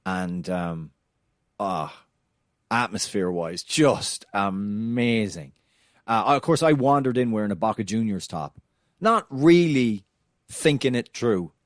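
A man's voice: fairly low pitch, expressive, takes it slowly, no echo, clean studio sound. A man co-hosting a soccer podcast with a non-American English accent, telling stories in a casual, chatty way with frequent fillers and restarts. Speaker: podcast co-host.

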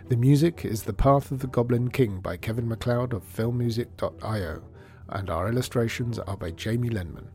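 A man's voice: mid-range voice, warm tone. A man reading scripted closing credits as a podcast announcer. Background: music.

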